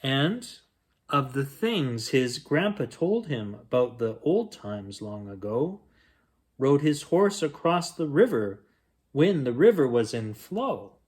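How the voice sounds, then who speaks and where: A man reading a rhyming picture book aloud as a bedtime story, in a gentle, expressive storytelling manner. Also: medium pitch, slow. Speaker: children's bedtime story reader.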